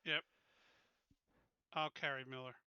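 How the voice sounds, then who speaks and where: A man's voice: medium pitch, little pitch movement, takes it slowly, smooth, dry recording, muffled recording. A man playing a tabletop roleplaying game, giving blunt, directive instructions in casual table talk.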